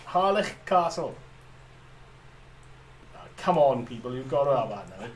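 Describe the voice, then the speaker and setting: A man's voice: mid-range voice, very animated, unhurried, distant mic. A man hosting a livestream model build, chatting casually and laughing often, with lots of "you know what I mean". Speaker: livestream host. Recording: voice a bit off-mic with faint room noise.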